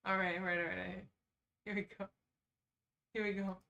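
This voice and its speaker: A woman's voice: mid-range voice, little pitch movement, moderate pace, vocal fry, sharp tone, far from the mic, muffled recording. A woman hosting a casual livestream, chatting informally and reacting off the cuff with sarcastic asides. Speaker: livestream host. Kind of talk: casual livestream chat, reading quizzes aloud.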